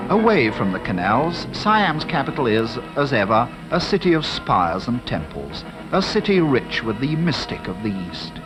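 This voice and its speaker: A man's voice: medium pitch, sing-song, normal speed, few pauses, rough recording. A man with a British accent giving formal, scripted 1950s newsreel narration. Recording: archive newsreel voice-over with music behind.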